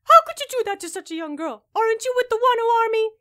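A woman's voice: very high voice, animated, quick pace. A woman playing a delicate, frightened young geisha, pleading and indignant, in a fan-made manga drama. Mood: indignant, upset.

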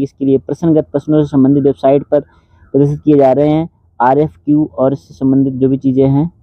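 Male exam-prep host, explanatory and informal, medium-pitched, thin phone-quality audio. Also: dry recording. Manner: explanatory, addresses viewers directly. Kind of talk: informal news update, reading out an official notice. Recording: dull phone-like sound, dry.

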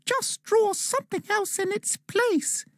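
A tiny, excitable male elf squeaks his lines far above any normal speaking voice. He calls out urgently and brightly, like a storybook sidekick. The delivery is slow and clear, recorded close and dry. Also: no echo, full character voice. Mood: cheerful.

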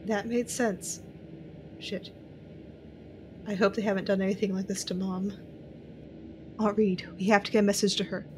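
A woman's voice: mid-range voice, level tone, moderate pace, long pauses, noisy background, lightly acted. A woman playing a tense, watchful young intelligence agent, narrating her own story in an audio drama. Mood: worried. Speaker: narrator and lead character. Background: music.

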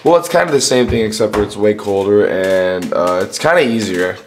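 A young man vlogging in a casual, rambling way, with lots of restarts and a lot of excitement. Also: on the low side, a little fast, reverberant, far from the mic, slight background noise. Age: young adult. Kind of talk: casual vlog chat. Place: house indoors, then snowy yard outdoors.

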